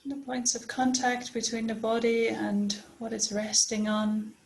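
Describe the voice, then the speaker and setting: A woman leading a guided body scan meditation as a teacher, speaking gently and unhurriedly with long pauses. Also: on the high side, monotone, gravelly.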